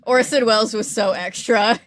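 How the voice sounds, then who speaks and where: A woman's voice: fairly high pitch, normal speed. A woman hosting a podcast, telling a story casually with wry, playful asides. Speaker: podcast host. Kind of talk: casual conversational storytelling with commentary.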